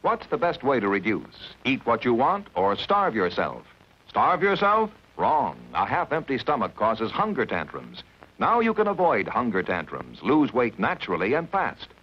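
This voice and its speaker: Animated male radio ad announcer, lo-fi. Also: mid-range voice, normal speed, husky. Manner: punchy salesman questioning. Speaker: commercial announcer. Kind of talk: vintage radio ad read. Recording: dull lo-fi radio recording.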